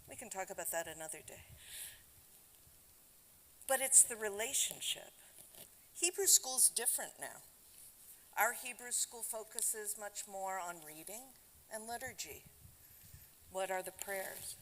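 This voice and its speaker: A woman's voice: mid-range voice, a bit bright. An older woman giving a conversational, reflective talk to the congregation at a Shabbat service in a synagogue sanctuary. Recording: long hall reverb with faint background noise.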